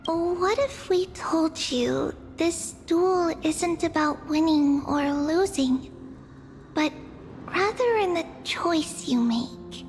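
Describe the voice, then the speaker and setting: A serene young holy maiden with a high, gentle voice. She speaks slowly and solemnly, like a mystic, in a long reverberant space with faint music. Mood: gentle, cryptic.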